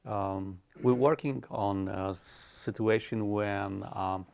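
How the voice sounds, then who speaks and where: A male interviewer with a very gravelly, low voice puts questions to a candidate. He speaks haltingly, pausing with "um" and "uh" as he looks for the right words. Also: steady tone, darkish tone.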